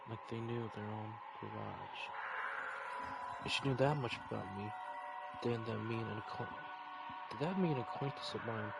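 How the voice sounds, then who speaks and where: A man's voice: mid-range voice, vocal fry, very noisy. A man reading a visual novel's narration and dialogue aloud, sometimes stumbling and rereading words.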